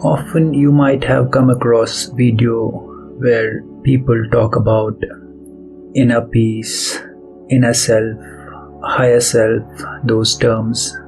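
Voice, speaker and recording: A man leading a guided meditation as its host, speaking softly and deliberately in short phrases with pauses between them. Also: medium pitch, little pitch movement, vocal fry, poor audio. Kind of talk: guided meditation, soft spoken instruction. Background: background music.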